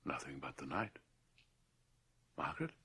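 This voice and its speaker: An actor playing a weary, dry-humored middle-aged gentleman and father in a radio horror drama. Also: a bass voice, expressive, a little slow, husky, no room sound, exaggerated voice. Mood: grave, sorrowful.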